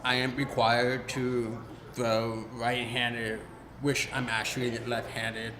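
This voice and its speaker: A man in his twenties, an actor, answering interview questions haltingly, often asking for them to be repeated. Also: mid-range voice, level tone, roomy sound, a crowd in the background. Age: 20s.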